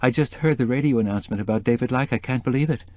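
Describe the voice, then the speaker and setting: A man voicing a breathless, anxious young woman who talks quickly and sounds worried. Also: mid-range voice, a little mellow, no echo, pitched above the performer's own voice, close to an everyday voice.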